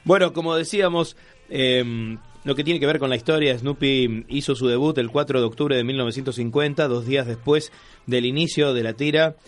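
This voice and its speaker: A man's voice: medium pitch, moderate pace. An Argentine man hosting a casual radio magazine show, chatting in a jokey, rambling way. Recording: music playing under the voice.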